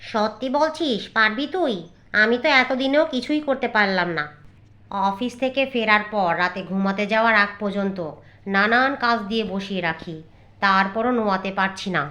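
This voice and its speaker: A woman's voice: medium pitch, normal speed, pushed and bright, close to an everyday voice. A woman voicing a stern, scheming middle-aged mother-in-law in a moral-story cartoon, complaining and reproachful. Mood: scheming, grumbling.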